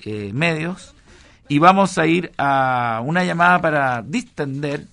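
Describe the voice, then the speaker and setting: A man's voice: fairly high pitch, animated, a little slow, creaky, sharp tone. A man with a Chilean accent, hosting a radio show in a casual, slang-filled rambling monologue. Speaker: radio host.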